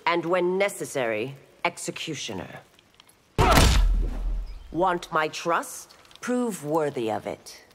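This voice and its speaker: Slow, stern constable. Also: female voice, low-pitched, big pitch swings, full character voice. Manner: formal, commanding. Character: stern, dutiful female constable. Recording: loud background noise.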